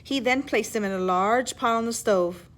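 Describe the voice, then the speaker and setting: A woman reading a children's story aloud in an expressive, teacherly way, pausing to explain words and ask questions. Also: fairly high pitch. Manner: animated, emphatic reading with hushed asides.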